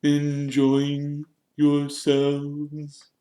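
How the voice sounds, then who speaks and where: A gentlemanly ghost in a storybook, acted by a male narrator. He speaks very slowly and stiffly, full of wounded pride, in an echoing room. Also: on the high side, monotone, voice pushed up. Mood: proud. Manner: stiff, slow, drawn-out. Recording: roomy, echoing narration recording.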